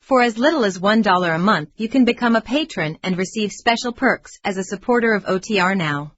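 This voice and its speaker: A woman's voice: mid-range voice, animated, fairly quick, no room sound. A woman reading a scripted podcast announcement. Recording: dry, slightly dull sound.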